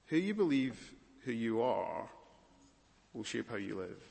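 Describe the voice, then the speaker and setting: A man with a Scottish accent preaching a sermon, moving between hushed and loud emphatic passages. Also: mid-range voice, sing-song, unhurried, vocal fry, long reverb tail. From Malawi, raised as Scottish.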